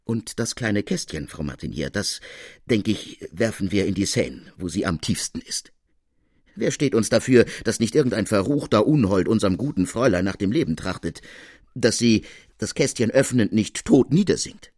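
A man's voice: lowish voice, lively intonation, moderate pace, darkish tone, slightly rough, dry recording, studio quality. A man voicing an honest, frightened servant in an audiobook, with agitated and urgent delivery. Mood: grave, anxious. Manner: agitated, breathless storytelling, urgent. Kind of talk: dramatic audiobook character reading.

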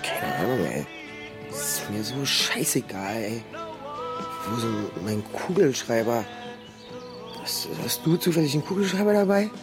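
A man's voice: mid-range voice, big pitch swings, measured pace, long pauses. A male actor playing a moody, stoned teenage boy who gripes in slang in a radio drama. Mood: irritable. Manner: sullen, slangy griping. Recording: music behind the voice.

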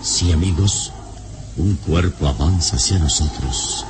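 An actor playing a vigilant, authoritative adventurer hero in a solemn, measured radio serial style. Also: male voice, very deep voice. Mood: alert, watchful. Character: commanding, vigilant adventurer hero, calm under danger. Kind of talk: old radio serial acting. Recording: noisy old radio serial recording.

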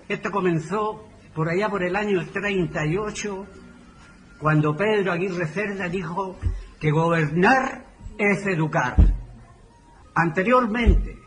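Un hombre con acento chileno que da su testimonio de forma didáctica, respondiendo a preguntas. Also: male voice, high-pitched, fairly bright tone, a little hiss or hum, poor audio. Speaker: participant giving testimony. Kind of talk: spoken recollection answering questions.

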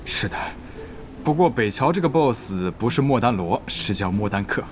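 A man's voice: mid-range voice, animated, normal speed, slight background noise, natural voice. A man playing an earnest, harried guild leader in a Chinese audio drama, polite and often flustered. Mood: concerned. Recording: music behind the voice.

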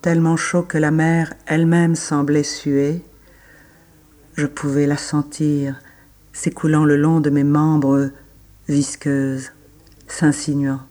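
Slow, higher-pitched man reading literary prose. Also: level tone, a little mellow. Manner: measured, pausing between short phrases. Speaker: literary reader. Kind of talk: slow scripted literary reading in an audio drama.

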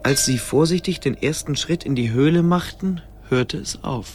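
An actor narrating a fantasy adventure as the storyteller of a scripted radio drama. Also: male voice, fairly high pitch, normal speed, darkish tone, slight background noise, close to an everyday voice. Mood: atmospheric.

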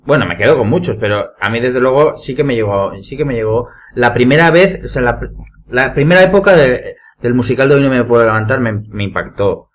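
A man's voice: mid-range voice, fairly quick. A man with an accent from Spain in casual conversation with friends on a podcast. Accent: Spanish from Spain. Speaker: podcast panelist. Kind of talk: casual chat among friends.

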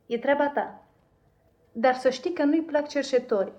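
Woman doing bossy gossipy maid in old radio play, fairly high voice, blunt but kind. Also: darkish tone, highs cut off, lightly acted. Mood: chatty. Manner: brusque, chatty. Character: brisk, gossipy housemaid, blunt but kindly. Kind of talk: 1950s radio drama acting.

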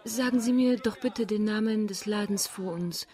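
A woman's voice: medium pitch, moderate pace, mellow, a faint crowd, natural voice. An actress playing a polite, independent young blind woman in a radio play, speaking formally and with composure. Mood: polite, composed.

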